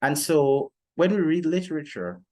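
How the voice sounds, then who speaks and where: A young man presenting an explanatory podcast monologue in a measured, deliberate way with formal phrasing. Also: a little high, very animated, mellow. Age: young adult. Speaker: student podcast host.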